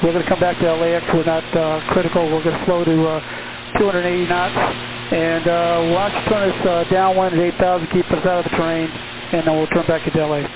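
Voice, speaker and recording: A man's voice: fairly high pitch, flat delivery, quick pace. A man speaking as an airline pilot, reporting matter-of-factly to air traffic control over cockpit radio. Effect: cockpit radio transmission. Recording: narrow-band, noisy cockpit radio. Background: clear radio and aircraft noise.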